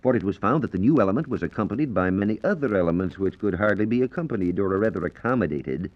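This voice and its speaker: An American man explains science in a formal, scripted 1940s radio manner. His voice is fairly low, with lively intonation. The vintage recording is dull and lo-fi. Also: quick pace. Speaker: science reporter. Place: radio studio.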